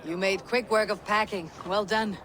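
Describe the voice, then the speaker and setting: A woman's voice: medium pitch, fairly even intonation, normal speed, a bit bright, lightly acted, rough recording. Woman doing a tough viking warrior, stoic, blunt, game cutscene, bit of background noise. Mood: resolved. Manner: terse, formal saga-like speech. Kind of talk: dramatic video game cutscene acting.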